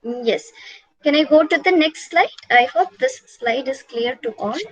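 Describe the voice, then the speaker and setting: A woman's voice: sits high, unhurried, dry recording, rough recording. A woman giving an online class lecture from slides, patient and repetitive, with frequent "uh" fillers.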